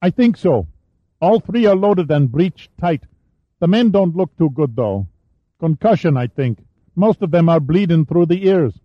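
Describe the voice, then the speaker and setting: A man's voice: lively intonation, measured pace, no room sound. A man doing an eager teenage boy gunner. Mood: earnest. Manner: high, youthful, earnest. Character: seventeen-year-old male ship gunner, eager. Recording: dull, dry studio sound.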